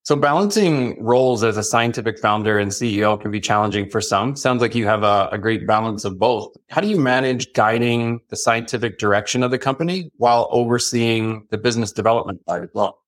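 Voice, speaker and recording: A man's voice: lowish voice, a little fast, few pauses. A man hosting an interview podcast, putting prepared questions to a guest. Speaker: podcast guest host, industry executive.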